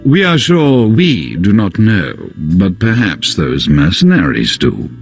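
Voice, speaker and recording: An obsequious alien attendant from a space opera game. His pitch swoops widely through formal, flowery sentences, refined and servile, with faint music behind him. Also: big pitch swings, slow, breathy edge, exaggerated voice. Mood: dry, polite. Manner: formal, flowery, speaks of self as "we". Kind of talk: video game dialogue acting.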